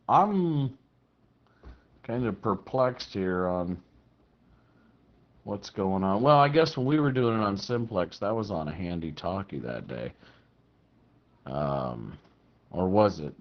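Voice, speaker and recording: A man chatting casually as a radio operator, thinking aloud in a hesitant way. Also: fairly low pitch. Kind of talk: casual two-way radio chat. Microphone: two-way radio app or handset. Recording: muffled, band-limited walkie-talkie audio.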